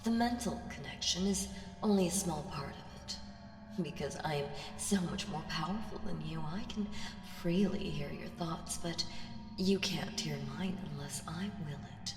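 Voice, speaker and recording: A woman voicing an ancient female evil spirit in an ASMR audio roleplay, seductive, teasing and condescending, with breathy half-whispered delivery. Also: on the low side, moderate pace, close to an everyday voice. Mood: intimate, menacing. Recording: echoey reverberant voice over music. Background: music.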